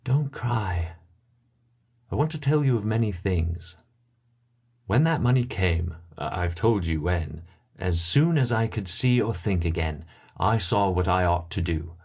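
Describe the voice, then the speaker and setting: A man playing a grieving, gentle old gentleman in a solemn, earnest dramatic audiobook reading. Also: low-pitched, lively intonation, measured pace, husky, full character voice.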